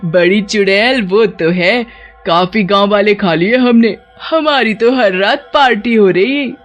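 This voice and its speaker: A woman voicing a greedy, boastful man-eating witch in an animated folk tale, cackling and mocking. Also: mid-range voice, a little slow, soft background music, natural voice. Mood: gleeful. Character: gloating, greedy young witch sisters, boastful man-eaters.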